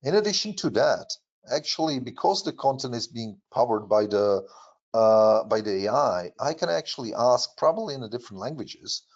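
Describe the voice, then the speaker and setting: A man with a Czech accent, Czech his first language, presenting a software demo in a webinar with frequent fillers and restarts. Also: medium pitch, animated, dull audio. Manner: halting, many fillers and restarts. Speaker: product presenter.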